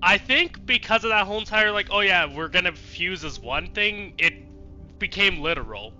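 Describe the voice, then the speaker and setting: A man's voice: high-pitched, lively intonation, normal speed, bright, forceful tone, highs cut off. A man streaming a let's play, giving casual, irreverent commentary with plenty of swearing. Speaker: game streamer. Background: faint music.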